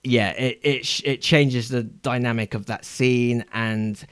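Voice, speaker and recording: A man in a casual podcast discussion, explaining his points in a measured, thinking-aloud way. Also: mid-range voice, fairly even intonation, fairly bright tone, smooth, no room sound, clean studio sound. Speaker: podcast panelist.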